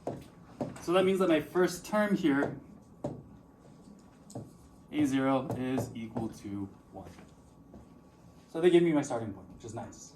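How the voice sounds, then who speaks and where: A man's voice: medium pitch, animated, measured pace, long pauses. Male teacher, patient, quizzes the class; distant room mic, classroom noise. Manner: patient, asks the class questions. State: slightly out of breath. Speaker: math teacher. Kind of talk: classroom explanation.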